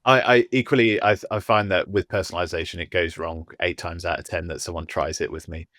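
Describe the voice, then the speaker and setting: A man's voice: sits low, fairly even intonation, fairly quick, fairly bright tone, no room sound, clean studio sound. A man from England co-hosting a casual podcast, easygoing, with stammering restarts. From England, not London.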